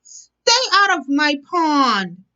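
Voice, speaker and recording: A woman voicing a greedy, territorial male frog in a storybook, yelling defiantly on a slightly dull recording. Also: sits high, very animated, extremely slow, pitched above the performer's own voice, exaggerated voice. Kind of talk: storybook character voice.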